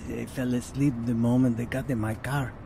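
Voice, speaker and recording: A man's voice: medium pitch, steady tone, moderate pace, gravelly, background noise, natural voice. An actor playing a friendly Ecuadorian man at the wheel, in an audio drama. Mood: friendly, curious. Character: friendly Ecuadorian man driving newly arrived visitors. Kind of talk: radio drama acting.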